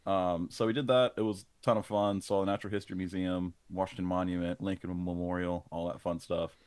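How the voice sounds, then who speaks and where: Young male co-host, very low pitch, a touch hoarse, dry sound, easygoing storyteller. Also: level tone, fast, darkish tone, no room sound. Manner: chatty storyteller with many ums. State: slightly hoarse. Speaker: podcast co-host. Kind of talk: casual chat.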